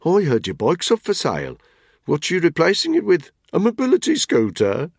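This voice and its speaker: A brash, needling middle-aged soldier with a raised, loud voice, taunting everyone around him, as a man puts him on. The tone is husky and gravelly with a dry sound. The voice belongs in an audiobook. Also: fairly high pitch, huge pitch swings, moderate pace, no echo, pitched above the performer's own voice, heavily acted. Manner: mocking, provoking.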